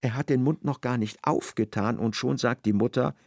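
An actor as the wry, knowing narrator of a radio play, reading literary prose in a measured way. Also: male voice, medium pitch, vocal fry, a little mellow, no echo, clean studio sound. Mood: dryly ironic.

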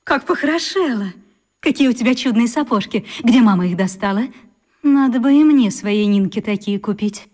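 High-voiced, gushing auntie. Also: female voice, expressive, normal speed, a little mellow. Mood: gushing delight. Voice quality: full-toned, ringing. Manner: gushing, exclamatory. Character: hefty, effusive middle-aged aunt, mother's friend.

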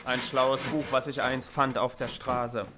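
A man playing a dry, pompous pseudo-scholar, formal and deadpan, in an amateur comic radio play. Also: mid-range voice, measured pace, lightly acted. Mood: lecturing. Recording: noisy, with sanding and sawing.

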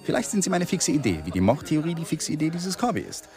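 An actor playing a defensive young lawyer under suspicion in a German radio drama, brittle and sarcastic. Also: male voice, a little high, big pitch swings, fast talker, a little mellow, soft background music.

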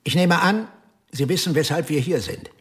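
An actor playing a male police detective who questions a suspect in a measured, quietly pressing way. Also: on the high side, lightly acted. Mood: steady. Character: calm, probing male police investigator. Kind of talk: radio drama acting.

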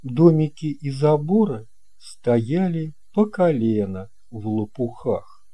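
A man narrating a children's story from a script in a measured, expressive storytelling manner. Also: mid-range voice. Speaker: audiobook narrator.

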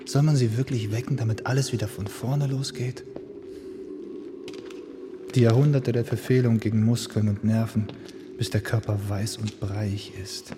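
A man's voice: lowish voice, steady tone, noisy background, close to an everyday voice. A man playing a lonely brooding young man. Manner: slow, brooding monologue, often hushed or whispered. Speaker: Kuno, lead in a radio play. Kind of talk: radio drama acting.

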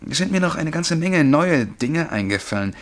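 An actor playing a dreamy, excitable young male bank clerk and would-be poet in a German radio play, fervent and absorbed as he describes things vividly. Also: on the high side, normal speed. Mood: excited, insistent. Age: young adult.

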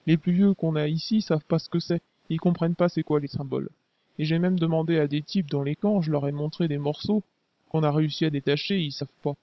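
A man's voice: fairly high pitch, steady tone, fast, no echo, highs cut off, natural voice. A man voicing a young male resistance fighter who talks in loose slang with frequent fillers. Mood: casual. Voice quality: casual slang, hesitant fillers.

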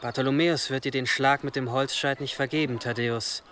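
A man's voice: medium pitch, steady tone, brisk, a bit bright, a little hiss or hum, close to an everyday voice. A man in a German radio drama playing an earnest, urgent servant at a medieval monastery. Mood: relieved, concerned.

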